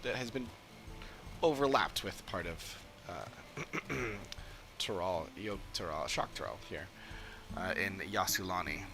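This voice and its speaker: A man giving casual livestream commentary on a game, haltingly searching for words as he talks. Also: low voice. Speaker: game streamer. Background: game music.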